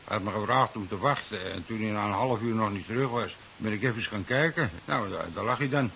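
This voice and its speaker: An actor playing an unfussy taxi driver, casual and matter-of-fact, in a 1970s radio drama. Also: male voice, lowish voice, a little fast, pushed and bright. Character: plain-spoken, unfussy male taxi driver. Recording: muffled 1970s radio recording, faint hiss.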